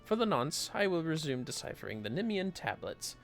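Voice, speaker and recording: Male streamer as a scholarly fantasy-game mentor, earnest, formal, bookish, lively pitch, music underneath. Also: a little fast, creaky. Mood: warm pride. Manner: bookish, ornate formal phrasing.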